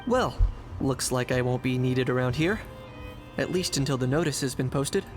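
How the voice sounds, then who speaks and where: A man's voice: mid-range voice, normal speed, natural voice. A man voicing a laconic, cool, practical young man in a video game. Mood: cool, matter-of-fact. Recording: faint music behind the voice.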